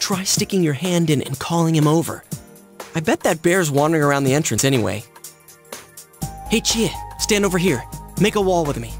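An excitable, earnest American teenage boy in an anime-style game. His voice is fairly high and animated, with a casual delivery. Music and crowd noise sit behind it. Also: a little fast, pauses often. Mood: brisk. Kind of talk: English anime-style video game dubbing.

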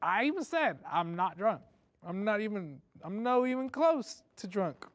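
A man's voice: high voice, very animated, normal speed, no echo. A young man, a game show contestant, talking in a casual, joking way. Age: young adult.

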